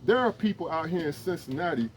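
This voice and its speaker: A man's voice: on the high side, lively intonation, fast talker, slight background noise. A man, an emergency management instructor, making an earnest, rambling, pleading appeal outdoors, with many restarts. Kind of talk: casual direct-to-camera appeal.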